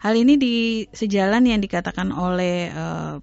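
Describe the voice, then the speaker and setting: A woman language researcher speaking as a guest on an educational radio talk, explaining at length with frequent pauses and self-corrections. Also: medium pitch, lively intonation, gravelly, darkish tone, dry recording. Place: radio studio. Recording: dull lo-fi radio studio.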